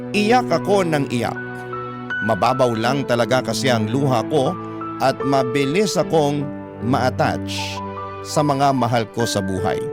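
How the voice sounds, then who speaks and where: A man with a Filipino accent reading a scripted first-person story as a radio storyteller. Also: mid-range voice.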